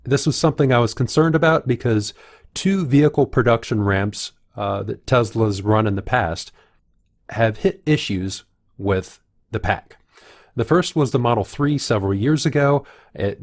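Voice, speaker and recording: Guy with a lowish voice doing analysis commentary, measured, explains stuff as he goes. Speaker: video commentator. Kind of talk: analytical commentary, reading out quotes.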